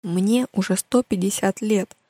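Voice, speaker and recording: A woman's voice: medium pitch, steady tone, moderate pace, dark tone, dry recording, close to an everyday voice. A woman voicing a reserved, sombre teenage girl in an audiobook, terse and guarded. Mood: gloomy, terse.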